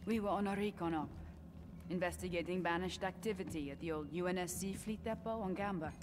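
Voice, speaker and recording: A woman's voice: fairly low pitch, a little fast, noisy background, natural voice. A woman playing a serious supersoldier in a video game, giving a restrained, matter-of-fact military report. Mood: sober, reporting. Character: serious, terse female supersoldier reporting to commander. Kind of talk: video game cutscene acting.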